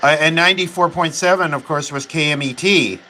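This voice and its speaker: An American man giving casual, off-the-cuff running commentary as a hobbyist host, haltingly thinking aloud. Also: a little high, bright, forceful tone, slight background noise.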